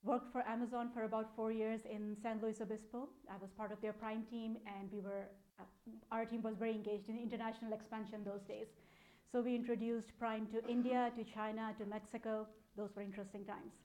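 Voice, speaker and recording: A woman's voice: on the high side, little pitch movement, fairly quick, dark tone, low-quality recording. A woman in her thirties with a Pakistani accent, giving an informal talk in an explanatory, conversational way. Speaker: speaker, data analytics program manager. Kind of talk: conference talk, informal presentation.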